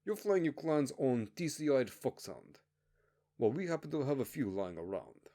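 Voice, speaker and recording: A man's voice: mid-range voice, big pitch swings, normal speed, warm tone, pitched above the performer's own voice. Man playing a harsh, scornful base commander. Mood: scornful, commanding.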